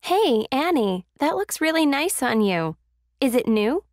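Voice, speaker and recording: A woman's voice: sits high, big pitch swings, a little slow, dry recording, clean studio sound. A woman playing a friendly, complimentary acquaintance in a scripted small-talk exercise. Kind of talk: scripted English listening-exercise dialogue.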